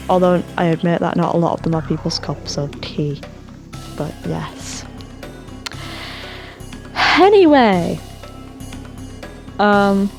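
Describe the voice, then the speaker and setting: A woman's voice: medium pitch, darkish tone. A woman chatting casually and rambling about game mods, her voice tiring and her throat sore. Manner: chatty, self-deprecating, trails off into fillers. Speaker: gaming channel host.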